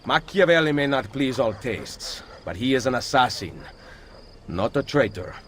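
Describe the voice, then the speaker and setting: An actor with an Italian accent playing a composed, shrewd assassin leader in a video game cutscene. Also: male voice, medium pitch, lively intonation, moderate pace, fairly bright tone, slight background noise, close to an everyday voice. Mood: firm.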